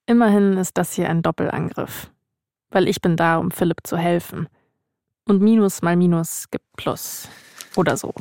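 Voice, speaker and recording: A woman's voice: on the low side, fairly even intonation, moderate pace, a little mellow, no room sound, clean studio sound. A woman narrating a podcast from a script in a wry way, as its host. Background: music bed.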